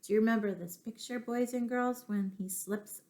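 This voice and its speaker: A woman's voice: a little high, steady tone, normal speed, darkish tone. A woman teacher reading stories aloud to young children, stopping for questions and asides to her listeners.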